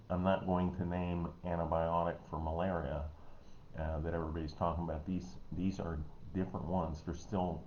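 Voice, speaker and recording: A man with a Missouri American accent, giving a casual health talk online in a folksy, rambling way, often clearing his throat. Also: low-pitched, flat delivery, normal speed, darkish tone. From Missouri, United States. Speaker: host, health educator. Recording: dull, roomy, noisy home recording.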